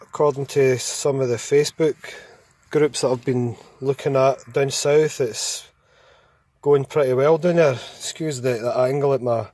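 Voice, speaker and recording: A man's voice: mid-range voice, fairly even intonation, measured pace, warm tone, no echo. A Scottish man with a Glasgow accent chatting casually and plainly on CB radio, recorded on a phone inside a parked car. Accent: Scottish, Glasgow area. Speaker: amateur CB radio operator. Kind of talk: casual chat. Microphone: handheld phone.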